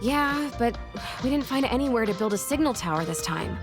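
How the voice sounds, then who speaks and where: An actress plays a curious young huntress for a video game. Her voice is high and expressive, with a casual, wry friendliness. Faint music is behind her. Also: fairly quick, natural voice. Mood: helpful.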